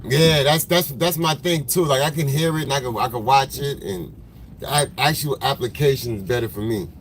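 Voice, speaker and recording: Male livestream host, smoker's voice, relaxed and slangy, talking into a phone in the car. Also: medium pitch, moderate pace. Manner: laid-back, rambling, slangy, greets viewers by name. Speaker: photographer hosting a livestream. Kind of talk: casual livestream chat with viewers. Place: in a car in traffic. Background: faint vehicle noise.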